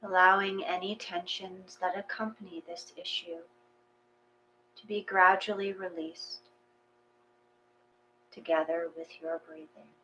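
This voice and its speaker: Slow, gentle woman leading meditation. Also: fairly low pitch, fairly even intonation, slight background noise, poor audio. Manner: gentle, unhurried, soothing phrasing with pauses. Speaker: guided meditation leader. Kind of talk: read guided meditation.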